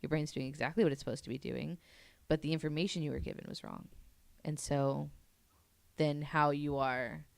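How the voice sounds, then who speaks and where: A woman's voice: low voice, fairly even intonation, moderate pace, vocal fry, dry recording. A woman talking casually and informally as a guest on a podcast, walking through what she has read. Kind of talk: casual podcast talk, presenting and paraphrasing an article.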